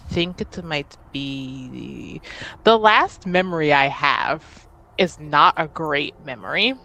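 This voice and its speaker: A tabletop roleplaying player improvising casually in character, halting at times and easily given to giggles. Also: medium pitch, a bit bright, poor audio. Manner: giggly, breaks into laughter.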